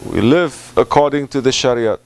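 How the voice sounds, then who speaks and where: Man giving a deliberate, halting spiritual talk. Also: mid-range voice, lively intonation, gravelly. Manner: deliberate, halting phrasing with repetition for emphasis; Arabic and Turkish religious phrases mixed into English. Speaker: Sufi religious teacher at a dargah. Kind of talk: informal sermon answering a question.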